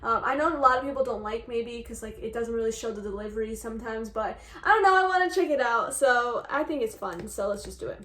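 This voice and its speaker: A woman's voice: on the high side, fast talker, hardly any pauses, a bit bright, roomy sound, recorded from a distance. A woman giving casual, slangy reaction commentary as the host of a video. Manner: informal, slangy.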